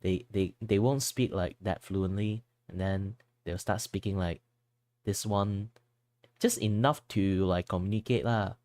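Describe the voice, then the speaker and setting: Young Malaysian male streamer, lowish voice, dry close sound, rambling chatty delivery that code-switches into Malaysian English. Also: unhurried, a little mellow, dry recording. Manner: rambling and chatty, with many fillers and restarts. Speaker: art streamer. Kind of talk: casual chat while drawing on stream.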